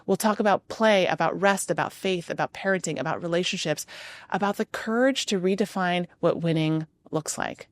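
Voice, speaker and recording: Earnest woman podcast host, low voice. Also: dry recording. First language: Arabic. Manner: earnest, rhythmic lists with emphatic pauses. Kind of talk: scripted monologue. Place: hotel room.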